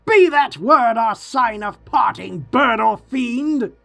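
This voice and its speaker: A man's voice: extremely high pitch, expressive, slow, vocal fry, pushed and bright, soft background music, voice pushed up, full character voice. A man playing an anguished, haunted, grieving man in a gothic poetry reading, crying out in impassioned, theatrical pleas. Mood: furious shrieking. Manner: impassioned, pleading, theatrical cries.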